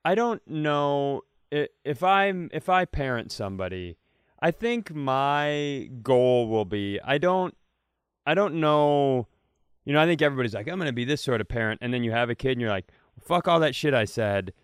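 Comedy podcast co-host, male, fast riffing banter, resonant voice, dead-dry mic. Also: mid-range voice, animated, clear, resonant tone, dry recording. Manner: rapid riffing, halting restarts.

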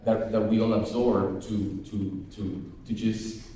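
A man from Venezuela answering at a press conference, halting and searching for words with many restarts. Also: on the low side, flat delivery, dark tone, a little hiss or hum. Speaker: film panelist. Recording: echoey hall from far off.

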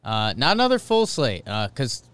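A man's voice: mid-range voice, huge pitch swings, normal speed, a bit bright, clean tone. A man co-hosting a casual sports podcast from a studio, easygoing and emphatic with slang. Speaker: podcast co-host.

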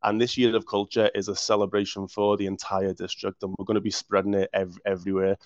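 A man's voice: lowish voice, level tone, fast, darkish tone, no room sound. A man with a Liverpool accent, a council official answering interview questions with enthusiasm and frequent restarts. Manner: enthusiastic, restarts words often. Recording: muffled dry audio.

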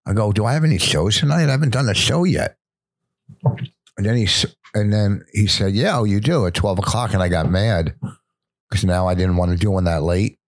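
A man's voice: fairly low pitch, a little fast, no room sound. An older man who is a stand-up comedian, telling stories in casual podcast chat, rambling with many restarts.

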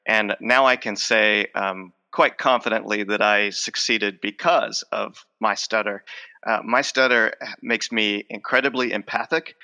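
A man's voice: on the low side, fairly even intonation, unhurried, vocal fry, pushed and bright. A man in his forties, a speech professor who stutters, repeating words and restarting as he answers interview questions. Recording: long hall reverb.

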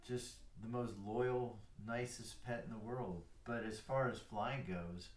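A man's voice: mid-range voice, fairly even intonation, slow, roomy sound, recorded from a distance. A retired older man chatting casually as a guest, self-deprecating and rambling a little. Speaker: guest, retired airline pilot. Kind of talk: casual chat.

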